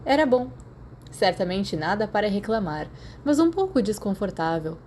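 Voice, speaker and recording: A woman with a Brazilian accent reads scripted fiction as an audiobook narrator. Her voice sits at a medium pitch. There is faint noise in the recording. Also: expressive, moderate pace, darkish tone.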